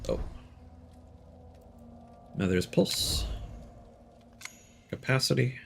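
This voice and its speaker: A man's voice: mid-range voice, expressive, extremely slow, vocal fry, over music. A young man streaming a game, chatting casually with lots of fillers. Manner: rambling, many fillers, reads item text aloud. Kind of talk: casual gameplay commentary.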